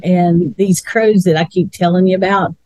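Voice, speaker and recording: A woman's voice: lowish voice, steady tone, moderate pace, darkish tone. A woman, co-hosting a casual chat in a musing way and often repeating words while she thinks. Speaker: co-host.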